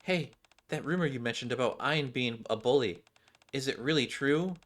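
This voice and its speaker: A man's voice: mid-range voice, moderate pace, fairly bright tone, close to an everyday voice. Man voicing a nosy, gossiping schoolgirl. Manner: gossiping, casual.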